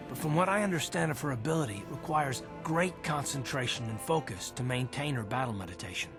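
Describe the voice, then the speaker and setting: A man's voice: mid-range voice, lightly acted. Earnest Republic soldier, played by a man, music behind. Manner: earnest, explanatory, urgent. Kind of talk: dramatic video game voice acting.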